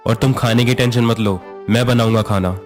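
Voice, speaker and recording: A man plays a proud young husband in a storybook drama, quick to scold and snap at his wife. His voice sits at an ordinary middle pitch, with soft music running under it. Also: fairly even intonation, close to an everyday voice. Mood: concerned. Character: proud young husband, gruff then tender. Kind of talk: storybook voice acting.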